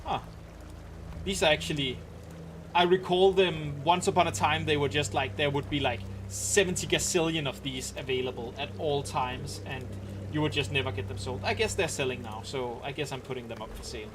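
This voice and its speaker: A man's voice: a little high, moderate pace, noisy background. Danish-accented man streaming a game. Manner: relaxed, rambling explanations with frequent ums and restarts. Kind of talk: casual live-stream commentary while playing.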